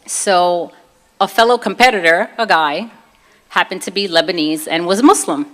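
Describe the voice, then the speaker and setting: A woman speaker with a fairly low voice and a Brooklyn accent. She tells her own story to listeners in an informal, conversational way, voicing bits of dialogue as she goes. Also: moderate pace, a bit bright. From Brooklyn, New York, USA. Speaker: guest speaker telling personal story. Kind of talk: informal public personal testimony talk.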